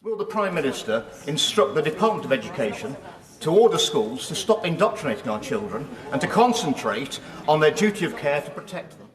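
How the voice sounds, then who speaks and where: A male politician delivering a formal question with a lively, swinging pitch. He is heard from far off in a reverberant hall with a noisy crowd. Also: fairly quick. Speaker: parliamentarian asking a question. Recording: echoey hall, distant mic, crowd noise.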